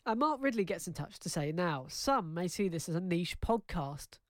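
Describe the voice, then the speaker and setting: A man co-hosting a comedy podcast, briskly reading out listener letters with playful asides. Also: high voice, sing-song, dry recording, clean studio sound. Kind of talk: casual comic chat.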